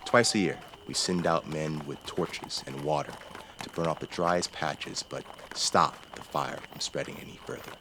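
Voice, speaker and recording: An actor playing a courtly, calculating young nobleman in a fantasy audio drama, speaking formally, deliberately and politely. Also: extremely low pitch, background noise. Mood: calmly persuasive.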